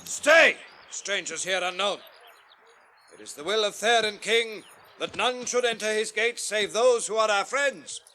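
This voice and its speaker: Formal British door warden for radio fantasy: high, forceful, stern, dutiful. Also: male voice, a little slow. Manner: proclaiming, formal. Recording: faint background noise, radio drama.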